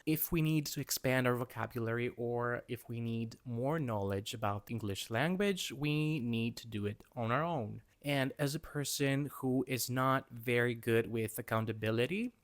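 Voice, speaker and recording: A man's voice: medium pitch, moderate pace, hardly any pauses. A young man from Greece with a Greek accent, a native Greek speaker, talking candidly and with some self-doubt in a rambling vlog monologue about his struggles. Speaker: booktuber.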